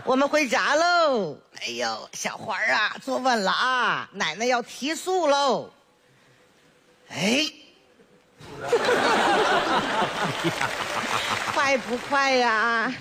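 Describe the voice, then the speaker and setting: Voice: mid-range voice, sing-song, drawn-out words, gravelly, pauses often, heavy background noise, full character voice. Someone playing a chatty old Northeastern granny. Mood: doting. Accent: Northeastern Chinese. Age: older. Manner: sing-song, full of exclamations, teasing and jokey. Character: chatty doting old village grandmother. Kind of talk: solo comedy sketch.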